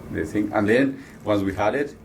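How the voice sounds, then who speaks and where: A man giving casual, halting interview answers at a trade show, often repeating words mid-sentence. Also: fairly low pitch. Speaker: loudspeaker designer and company co-founder. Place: busy audio trade show. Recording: noisy trade show floor.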